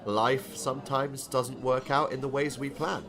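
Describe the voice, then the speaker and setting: A man playing a genial, corny retired professor turned novelist, older, courteous and gently philosophical, in an audiobook drama. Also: mid-range voice, fairly even intonation, unhurried, a crowd in the background, close to an everyday voice. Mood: jovial.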